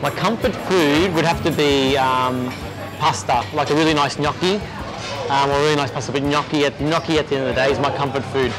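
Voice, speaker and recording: A young adult man with an Australian accent, talking casually as an interview guest, halting and restarting often. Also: on the high side, over music, low-quality recording. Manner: easygoing, halting with many restarts and fillers. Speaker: executive chef, interview guest.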